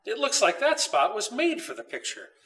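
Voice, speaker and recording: A man voicing a hesitant but agreeable father in a storybook, speaking in a measured, considering way. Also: high-pitched, huge pitch swings, bright, forceful tone, roomy sound, voice pushed up, exaggerated voice. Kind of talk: storybook character voice.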